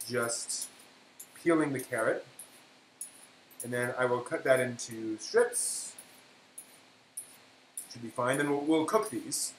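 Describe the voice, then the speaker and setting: A man hosting a casual, unscripted cooking live stream, thinking aloud in a hesitant way and trailing off as he works. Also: mid-range voice. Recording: roomy echo, background noise.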